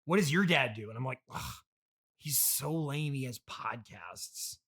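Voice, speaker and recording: A man playing a sulky, mocking schoolboy son of a trillionaire in an improvised comedy interview, with whiny complaints and deadpan jabs. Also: mid-range voice, a little slow. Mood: whiny, aggrieved.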